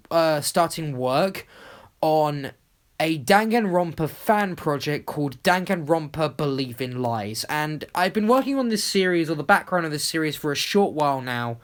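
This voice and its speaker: Enthusiastic, rambling YouTube creator. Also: mid-range voice. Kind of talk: casual rambling video voice-over.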